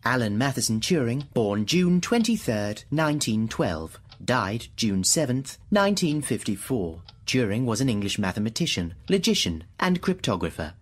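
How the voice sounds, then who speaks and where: Radio drama computer played by a man: literal, unemotional wording, husky voice with wide pitch movement, clipped and exact. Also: sing-song, normal speed, few pauses. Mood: formal, matter-of-fact. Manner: precise, clipped, literal delivery of data. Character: literal-minded talking computer, formal and unemotional.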